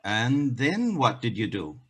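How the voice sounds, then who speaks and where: Man playing a husky, wry tavern bartender. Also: mid-range voice, huge pitch swings, takes it slowly, exaggerated voice. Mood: probing. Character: wry bartender, a former fairy-tale giant killer. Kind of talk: stage play acting.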